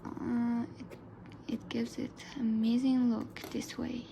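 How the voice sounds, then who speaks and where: A woman whose first language is Arabic talks through a drawing lesson in a soft, half-whispered voice, pitched a little high and slightly gravelly. She speaks slowly and unhurriedly, and the recording carries clear background noise and pencil scratching. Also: level tone. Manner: soft, often whispered narration. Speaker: art tutor. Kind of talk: drawing tutorial voice-over.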